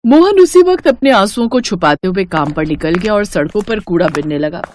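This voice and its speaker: A woman's voice: mid-range voice, lively intonation, fairly quick, darkish tone, a little hiss or hum. A woman narrating a cartoon moral story in a scripted storytelling style.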